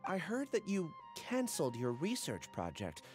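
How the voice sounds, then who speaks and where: A man's voice: on the high side, wildly swooping pitch, moderate pace, soft background music. A man voicing an earnest, polite young male researcher in a video game. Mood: apologetic, polite.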